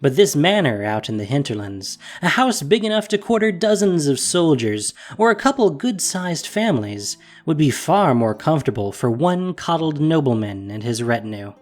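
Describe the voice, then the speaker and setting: A narrator reading a fantasy story in a measured, wry manner. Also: medium pitch, big pitch swings, soft background music.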